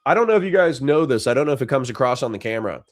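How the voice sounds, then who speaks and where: A man's voice: medium pitch, animated, very fast, no room sound. A middle-aged man hosting a casual livestream, reading chat messages and thanking supporters in a dry, sincere way with frequent restarts. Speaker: livestream host.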